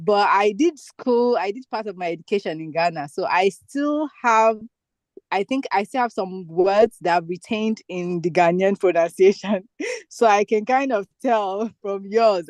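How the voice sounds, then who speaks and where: A woman student on a video call thanking her teacher warmly and at length, with frequent laughs. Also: mid-range voice, expressive, normal speed, dry recording. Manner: effusive, appreciative, laughs often. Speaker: student in the class. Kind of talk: casual thanks and chat.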